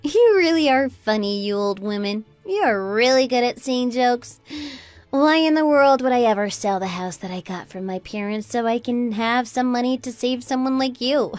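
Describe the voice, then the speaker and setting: A woman's voice: a little high, lively intonation, moderate pace, no room sound, faint music behind, natural voice. A woman playing a fed-up, sarcastic daughter-in-law in a melodramatic radio drama. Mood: scornful, indignant. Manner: sarcastic, taunting. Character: scornful, fed-up adult daughter-in-law, Anna, defiant and mocking. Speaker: voice actor in an online radio drama.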